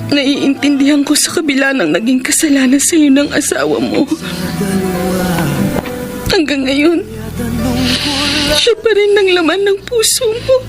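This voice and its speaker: A woman's voice: high-pitched, expressive, measured pace, long pauses. A woman with a Filipino accent playing a hurt, self-sacrificing partner in a radio melodrama. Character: self-sacrificing, hurt woman Alice, gentle and long-suffering. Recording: radio drama with loud music bed.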